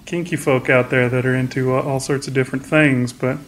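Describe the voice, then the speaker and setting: A man's voice: mid-range voice, level tone, fairly quick, fairly bright tone, a little hiss or hum. A man co-hosting a podcast in casual chat at home, talking in a rambling, easygoing way and laughing often. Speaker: podcast co-host. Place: home room with plate on wall.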